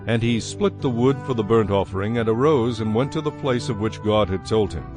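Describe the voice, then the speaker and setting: A man giving a measured, solemn narration of scripture as the narrator of a dramatized production. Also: lowish voice. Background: soft music.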